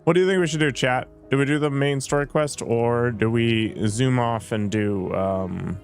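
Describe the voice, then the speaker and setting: Male streamer, casual crude banter over gameplay, mid-pitched voice, soft music under it. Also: moderate pace. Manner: joking, crude, self-mocking. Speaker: game streamer. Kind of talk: casual gameplay commentary to chat.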